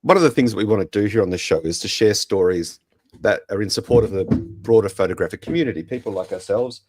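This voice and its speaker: An Australian man with a croaky voice, casually hosting a podcast. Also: on the low side, fast talker, darkish tone, no echo, soft background music. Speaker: podcast host.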